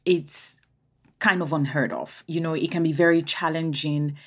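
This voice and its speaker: A woman's voice: low voice, fairly even intonation, moderate pace, a bit bright. A woman interviewing a guest, setting up her questions with long framing remarks. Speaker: interviewer, host.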